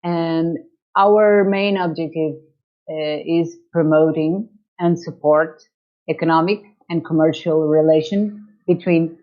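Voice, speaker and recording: Portuguese-accented woman, non-native english, slow careful delivery, dull lo-fi call audio. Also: deep voice, steady tone. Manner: hesitant, searching for English words. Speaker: chamber of commerce director. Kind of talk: interview over video call. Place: in Lisbon.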